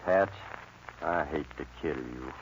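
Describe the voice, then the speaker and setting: Cold outlaw gunfighter, very low voice, American Western drawl, terse, old radio western, muffled noisy recording. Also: male voice, full character voice. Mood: threatening. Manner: terse, deliberate, threatening. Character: cool, menacing young male outlaw gunfighter. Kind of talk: 1940s radio western acting.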